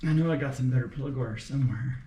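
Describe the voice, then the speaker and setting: A man's voice: medium pitch, quick pace. A man thinking aloud in short, muttered fragments while working on a car, unscripted and casual. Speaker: car mechanic making a repair video. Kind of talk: unscripted talking to himself while working on an engine. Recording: roomy echo, light background noise.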